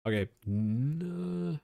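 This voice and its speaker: A man's voice: fairly high pitch, vocal fry, mellow, dry recording, studio quality. A young man streaming live, chatting loosely with viewers, rambling and swearing now and then. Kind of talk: casual live-stream commentary.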